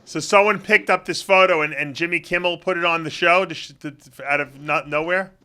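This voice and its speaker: Male host, middle-aged, slightly high, bright forceful voice, teasing and chatty with stumbling restarts. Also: fairly even intonation, a little fast, smooth. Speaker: interviewer, show host. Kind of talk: casual interview.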